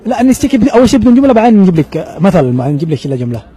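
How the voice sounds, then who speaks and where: A man's voice: high voice, sing-song, rapid-fire, warm tone, slightly rough. A man with a Yemeni accent hosting a street quiz, coaxing people with teasing and laughter. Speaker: street interviewer, show host.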